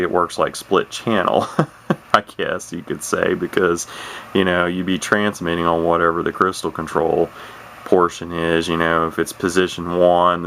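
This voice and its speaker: A man with a low voice that crackles with fry talks casually, as a hobbyist showing off a piece of gear. His delivery is unscripted and halting, wandering from point to point, with faint noise behind him. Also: a bit bright. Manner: rambling, halting, hesitant delivery. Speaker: radio hobbyist presenting a set. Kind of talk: casual unscripted demonstration talk.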